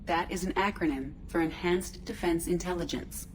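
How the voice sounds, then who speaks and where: Woman voicing a calm, formal female ship AI, lowish. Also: close to an everyday voice. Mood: calm, detached. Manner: measured, precise, detached. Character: calm, formal female starship artificial intelligence. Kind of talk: scripted video game dialogue. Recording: clear background noise, ship ambience.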